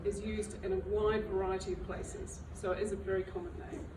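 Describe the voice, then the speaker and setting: A woman's voice: mid-range voice, level tone, a little fast. Woman speaking from afar in a roomy hall. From New Zealand. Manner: self-deprecating, chatty. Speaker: library director giving a talk. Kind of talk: public talk to an audience. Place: library event room. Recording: roomy, far from the mic, noisy.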